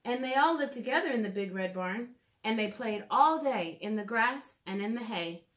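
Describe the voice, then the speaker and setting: A woman in her thirties reading aloud and leading a drawing lesson for preschoolers in a clear, simple storytelling manner, recorded in a room at home. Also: fairly high pitch, animated, moderate pace, fairly bright tone. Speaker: children's educator reading and drawing. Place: small room at home, indoors.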